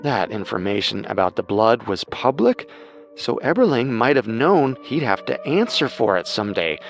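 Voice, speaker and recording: A man co-hosting a podcast, reading scripted true-crime narration in a measured storytelling manner. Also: medium pitch, big pitch swings, gravelly, faint music behind.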